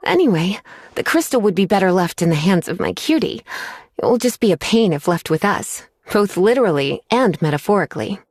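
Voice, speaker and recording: A woman voicing a languid, teasing witch librarian in a video game, with a breathy, coy delivery. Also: medium pitch, big pitch swings, fairly quick, a little mellow, no room sound, studio quality. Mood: intrigued, playful.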